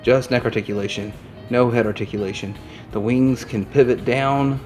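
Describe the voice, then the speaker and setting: A man's voice: mid-range voice, steady tone, normal speed, darkish tone. A man presenting an unscripted product review, rambling in an easygoing way as he thinks aloud while handling items, with music behind him.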